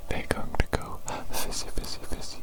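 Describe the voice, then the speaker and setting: Whispering woman in an ASMR doctor roleplay. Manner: slow, soothing, repeats words and phrases. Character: playful, doting evil doctor and scientist.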